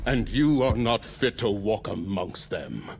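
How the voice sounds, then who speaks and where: An actor voicing a noble thunder-god prince in a video game, speaking in grand, archaic, declamatory style over dramatic music. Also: male voice, fairly low pitch, sing-song, unhurried, gravelly, very noisy, full character voice. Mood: indignant. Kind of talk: heroic video game acting.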